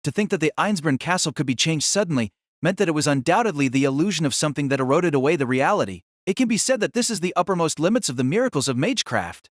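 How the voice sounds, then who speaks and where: A man's voice: fairly high pitch, lively intonation, fast talker, dry recording, studio quality. A man narrating a fantasy story in a dramatic, theatrical, scripted style.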